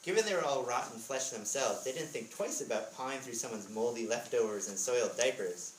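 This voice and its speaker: Guy reading his book out loud with chatty asides, roomy echo, mic kinda far off. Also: medium pitch, brisk. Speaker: author reading from his book at a school readers theatre. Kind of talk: expressive storybook reading with casual asides. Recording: echoey room, distant mic, background noise.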